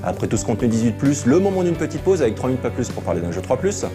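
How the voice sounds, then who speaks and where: A man's voice: medium pitch, sing-song, very fast. A man co-hosting a show about video games in a conversational presenting style, with music behind him. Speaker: co-host and game critic. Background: music under the voice.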